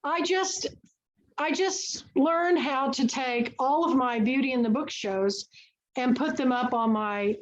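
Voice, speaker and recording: Woman host chatting away about books, higher voice, kinda muffled call audio. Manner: chatty, enthusiastic storyteller. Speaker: book club host. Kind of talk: casual book and film chat. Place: online video call.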